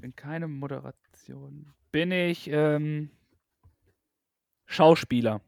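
A man with a slightly raised voice speaks slowly and easily in a casual podcast guessing game. He teases his partner and laughs often. The recording is dry and close, with no room sound. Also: a little high, gravelly, darkish tone, no echo. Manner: slow, teasing, laughs often. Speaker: podcast co-host.

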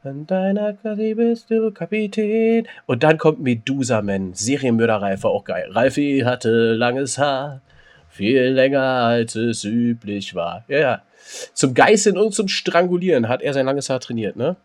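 A man's voice: medium pitch, a little slow, darkish tone. A man co-hosting a casual podcast, joking around and breaking into sung snippets. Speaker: podcast co-host. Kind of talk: casual chat.

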